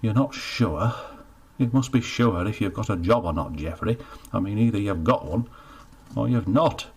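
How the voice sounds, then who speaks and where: A man's voice: lowish voice, moderate pace, husky, voice pushed up. A man voicing a bad-tempered baker, blunt and scolding, cursing freely. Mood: needling.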